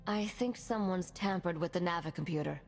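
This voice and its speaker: An actress playing a calm, dry ship's crew member in a video game cutscene. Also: female voice, on the low side, a little fast, big-room sound, faint music behind, lightly acted.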